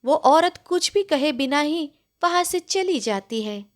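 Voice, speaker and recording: High-pitched, slow woman storyteller. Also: animated. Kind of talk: scripted story narration.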